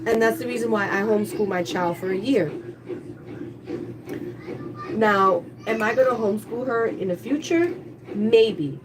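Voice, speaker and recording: A woman's voice: mid-range voice, unhurried, pauses often, distant mic, noisy background. Congested woman chatting on a home livestream. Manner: chatty, candid, talks to viewers. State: congested, stuffy nose. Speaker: livestreaming YouTuber. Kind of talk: casual livestream chat. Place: kitchen at home, household noise.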